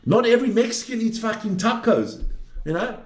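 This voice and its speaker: A man's voice: high voice, expressive, a little fast. A man of around fifty, talking off the cuff on a sports livestream in a blunt, opinionated way, often swearing and tagging sentences with "man". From South Africa, later Switzerland. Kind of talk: casual off-the-cuff livestream talk with some race commentary. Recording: roomy, echoing room sound.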